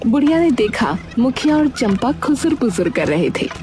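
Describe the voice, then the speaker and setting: A woman's voice: on the high side, big pitch swings, moderate pace, darkish tone, soft background music. A woman giving scripted narration for a story.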